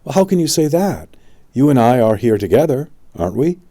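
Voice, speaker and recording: A man's voice: medium pitch, very animated, fairly quick, dark tone, pitched above the performer's own voice. A man voicing an anxious, questioning young boy, a wizard's disciple, in an audiobook story. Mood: earnest doubt. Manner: questioning, earnest, distressed.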